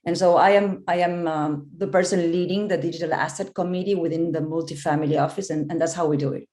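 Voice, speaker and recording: A woman's voice: on the low side, fast talker, reverberant. Woman from Argentina on a video call. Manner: explanatory, steady with fillers. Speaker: panelist, investment partner. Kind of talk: conference panel remarks. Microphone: joining remotely by video call.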